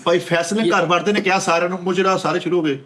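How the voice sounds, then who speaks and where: A man's voice: high-pitched, steady tone, racing, a crowd in the background. A man with a Pakistani Punjabi accent joking casually as a panelist on a comedy show. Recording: clearly noisy recording with clicks.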